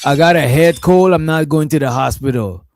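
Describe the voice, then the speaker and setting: A man's voice: a little high, normal speed, background noise. A man hosting a casual stream, reacting to a clip in a laid-back, profane, rambling way.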